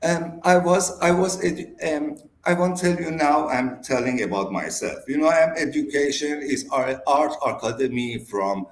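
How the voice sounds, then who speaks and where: Interview guest: Iranian-accented man, Persian native, slightly high pitch, halting non-native English, echoey room sound. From Iran. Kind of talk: interview answers. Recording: roomy echo.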